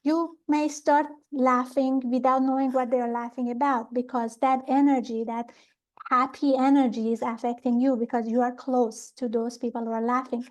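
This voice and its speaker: A middle-aged woman from Iran calmly explaining pranic healing ideas in an interview, pausing often with fillers and restarts. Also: high-pitched. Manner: calm, thoughtful, with many fillers and restarts.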